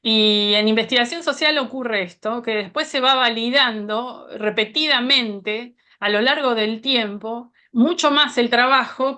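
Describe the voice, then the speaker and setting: A woman researcher reflects earnestly on her work over a video call. Her voice is bright and tense and sits a little high.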